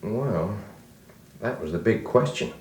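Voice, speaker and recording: Slow, expressive storyteller. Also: male voice, lowish voice. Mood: reflective storytelling. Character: grown man recalling his farm boyhood as storyteller. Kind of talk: scripted radio drama narration for children. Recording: vintage 45 rpm record, music behind, roomy.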